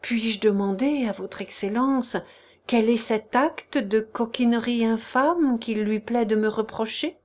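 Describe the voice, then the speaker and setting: Lady doing a sniveling con man begging for mercy, high and whiny, dragging it out. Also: a little slow, a little mellow. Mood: trembling. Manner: pleading, tearful, wheedling. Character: groveling male swindler begging for mercy. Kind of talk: audiobook character reading.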